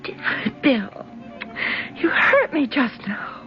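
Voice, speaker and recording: An actress playing a senator's defiant, sharp-tongued daughter in a theatrical 1970s radio drama style. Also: female voice, sits high, huge pitch swings, slow, exaggerated voice. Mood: defiant, bitter. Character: defiant, bitter senator's daughter, a young woman in love. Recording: dull vintage radio recording with music.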